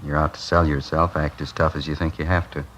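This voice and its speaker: An actor playing a businesslike police captain in a 1950s radio drama, giving brisk, matter-of-fact orders. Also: male voice, rumbling low, flat delivery, fairly bright tone, dull audio, a little hiss or hum.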